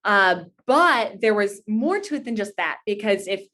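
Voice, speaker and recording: A woman's voice: mid-range voice, lively intonation, a little slow, bright, forceful tone. A woman in her twenties talking earnestly and at length as an interview guest, in a conversational, anecdotal style. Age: 20s. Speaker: interview guest, pageant titleholder.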